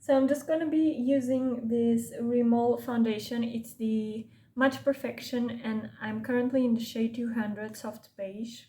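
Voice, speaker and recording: A woman's voice: fairly high pitch, steady tone, measured pace, hardly any pauses, dark tone. A young Portuguese woman with a Portuguese accent, for whom English is a second language, chatting casually in a makeup vlog with rambling asides and self-corrections. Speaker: beauty vlogger. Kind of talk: chatty get-ready-with-me makeup talk.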